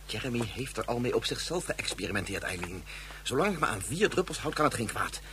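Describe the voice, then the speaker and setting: A man's voice: medium pitch, very animated, fairly quick, noisy background, lightly acted. An actor playing an earnest male physicist and father who talks in a thoughtful way. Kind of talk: radio play acting.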